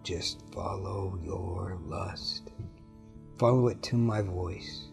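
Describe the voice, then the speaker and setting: Male, low, very slow commanding hypnotist, guitar bed underneath. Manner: commanding, repetitive, hushed in places. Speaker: hypnosis audio speaker. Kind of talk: slow hypnotic domination monologue. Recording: clear guitar music underneath. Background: guitar music.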